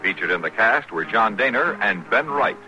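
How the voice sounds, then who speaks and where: A man's voice: fairly low pitch, lively intonation, normal speed, bright, forceful tone, faint music behind, rough recording. An American man announcing formally in a declamatory 1950s radio broadcast style.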